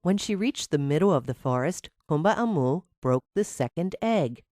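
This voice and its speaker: Low-voiced, expressive woman storyteller. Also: moderate pace, dry recording, studio quality. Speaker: storyteller, narrator. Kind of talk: scripted children's fairy tale narration.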